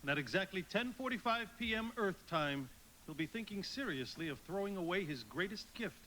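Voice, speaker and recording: A kindly senior angel briefing a junior in a radio drama. His high voice moves animatedly up and down with avuncular authority, over faint noise. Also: expressive, normal speed, bright, forceful tone, low-quality recording. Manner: instructive, avuncular. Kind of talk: live radio play acting.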